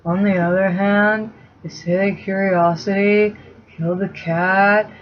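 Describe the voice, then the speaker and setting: A woman voicing a laid-back, dim male hobbit servant like a stoner, slow, sleepy and drawling, for a storybook reading. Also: mid-range voice, steady tone, natural voice. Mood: rambling. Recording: slightly muffled with background noise.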